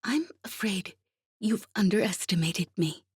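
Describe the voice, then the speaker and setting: A woman voicing a calm, calculating veteran female spy in an audiobook, speaking softly and breathily with quiet assurance. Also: mid-range voice, a little slow, breathy edge, dry recording, studio quality, pitched above the performer's own voice. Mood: cool, assured. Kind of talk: audiobook character acting.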